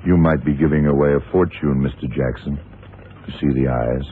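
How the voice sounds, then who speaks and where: Very deep-voiced, measured investigator. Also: male voice, steady tone. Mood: cool, probing. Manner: measured, deliberate, probing. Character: calm, shrewd blind male FBI investigator. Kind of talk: 1970s radio drama acting. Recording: tinny, noisy old radio recording.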